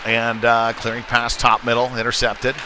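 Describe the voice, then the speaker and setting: A man doing live hockey play-by-play in halting, off-the-cuff phrases, as the announcer on a game stream. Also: mid-range voice, completely flat delivery. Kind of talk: live sports commentary. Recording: noisy live rink stream.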